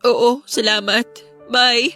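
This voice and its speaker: A high, girlish voice of a fearful Filipino teenage daughter in a radio drama. She speaks hesitantly and politely, pleading softly, with faint music underneath. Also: steady tone, slow pace. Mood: downcast, resigned. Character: ashamed, fearful teenage schoolgirl, meek daughter.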